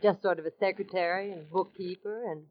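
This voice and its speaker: An actress playing a rueful, casual young secretary at a desert mine in a vintage radio drama. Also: female voice, lowish voice, fairly even intonation, brisk, a little hiss or hum, natural voice. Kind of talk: 1940s radio acting. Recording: thin phone-like old radio recording.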